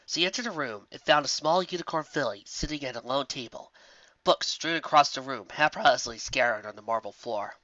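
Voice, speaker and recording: An amateur narrator reading scripted fantasy fan fiction in an expressive storytelling manner. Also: medium pitch, a little fast, no echo, highs cut off.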